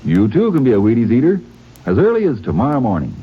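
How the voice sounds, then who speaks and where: A man's voice: mid-range voice, huge pitch swings, fast, slightly rough. A man as a radio announcer in 1950s ad banter, with folksy salesman's patter. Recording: muffled old radio broadcast.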